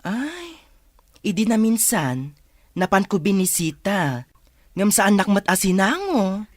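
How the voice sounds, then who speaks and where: A woman's voice: mid-range voice, very animated, no room sound, clean studio sound. A woman voicing a kind, earnest young male schoolteacher in a village radio drama, polite and concerned. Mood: polite, curious. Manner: polite, unhurried.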